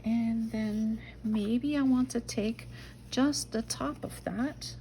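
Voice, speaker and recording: A middle-aged woman from the Netherlands with a Dutch accent, chatting to herself and her viewers while making a journal page, sometimes dropping to a soft whisper. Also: a little high, slow pace, a little hiss or hum, low-quality recording. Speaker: junk journal crafter. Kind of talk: casual craft-along narration.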